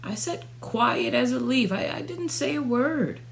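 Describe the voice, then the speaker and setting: A young woman reading a children's storybook aloud, narrating expressively in the first person with dramatic pauses. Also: fairly high pitch, animated, vocal fry, slight background noise. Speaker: storybook reader. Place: small furnished room at home.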